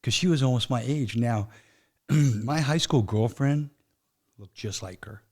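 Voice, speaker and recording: Interview guest, man in his 50s, mid-pitched, rambly anecdote-teller. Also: measured pace, darkish tone. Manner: rambling storyteller, often asks "you know what I mean?". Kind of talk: casual interview chat.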